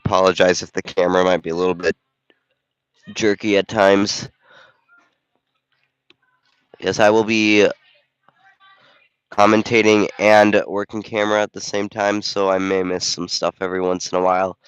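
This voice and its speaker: A man's voice: on the low side, little pitch movement, normal speed, long pauses, smooth, dry recording, muffled recording. A man doing casual play-by-play commentary while also running the camera, congested from a cold, chatting and thinking aloud. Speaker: volunteer game commentator and camera operator. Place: school gym during a basketball game.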